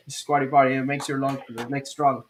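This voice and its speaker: A man's voice: mid-range voice, flat delivery, moderate pace, fairly bright tone. A man co-hosting a casual fan chat in an easygoing, chatty way.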